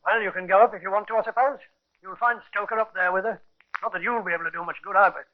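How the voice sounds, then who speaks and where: An actor playing a sly, brash working-class husband with a gravelly, wheedling, blustering manner in a 1950s radio crime drama. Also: male voice, sits high, steady tone, fast, lightly acted. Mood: surly, defensive. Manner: wheedling, coarse-spoken, blustering. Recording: dull, lo-fi 1950s radio recording.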